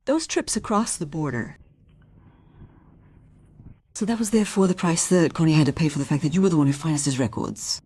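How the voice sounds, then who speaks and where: Radio crime drama, wronged wife: insistent, bitter accuser, husky voice, very lively intonation. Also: female voice, low-pitched, brisk, pauses often, exaggerated voice. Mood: bitter, quiet. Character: wronged, accusing wife confronting a treacherous family friend. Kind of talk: crime radio play acting.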